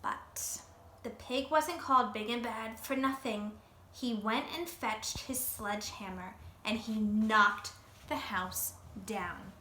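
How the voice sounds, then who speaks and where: A woman's voice: mid-range voice, pushed and bright. A woman reading a picture book aloud to children like a teacher, expressively, with questions to her listeners. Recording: roomy echo, faint background noise.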